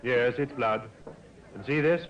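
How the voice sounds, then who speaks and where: A male actor plays a composed British detective inspector in a vintage radio play. His mid-pitched voice is dry, orderly and authoritative. The recording is slightly muffled and dated. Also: a little hiss or hum, natural voice. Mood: grave, firm. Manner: measured, businesslike, dryly authoritative. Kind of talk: 1950s radio drama acting.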